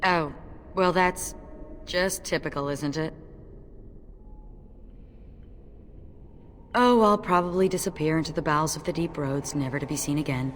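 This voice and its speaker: A woman's voice: deep voice, a little fast, a little hiss or hum, natural voice. A woman playing a stern, fatalistic dwarf warrior in a video game, speaking in an earnest, wry way.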